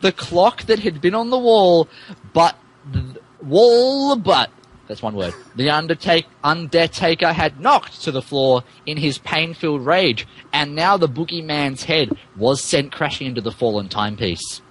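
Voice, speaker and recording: A man's voice: on the high side, expressive, unhurried, poor audio. A man narrating fan fiction on a podcast in a mock-dramatic, emphatic way, amused and stumbling over the text.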